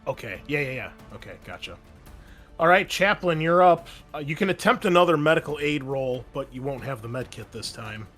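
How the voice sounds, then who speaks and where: A man's voice: mid-range voice, animated, fairly quick, a bit bright, soft background music. A man running a tabletop roleplaying game as game master, explaining rules and narrating with frequent fillers and restarts.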